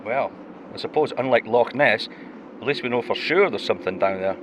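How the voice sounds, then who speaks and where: A man's voice: mid-range voice, brisk, background noise, lightly acted. An actor playing a wry man with dry humor. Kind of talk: audio drama acting.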